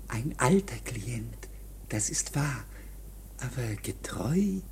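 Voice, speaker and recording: An actor playing a timid, obsessive and servile long-time client in a radio drama, furtive and pleading, often in a breathy whisper. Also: male voice, medium pitch, big pitch swings, slow pace, slight background noise. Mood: furtive, anxious.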